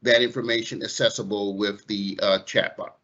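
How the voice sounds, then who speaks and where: A man's voice: fairly low pitch, fairly even intonation, normal speed, a bit bright. A man casually explaining technical details as a specialist on an online call, plain-spoken and reassuring. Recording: slightly dull online-meeting audio.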